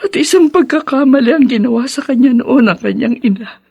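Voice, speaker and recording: A woman playing a tender, ailing adoptive mother in a radio drama, speaking softly and emotionally, with halting phrases. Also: high voice, creaky, breathy edge, heavily acted. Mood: pleading, tearful.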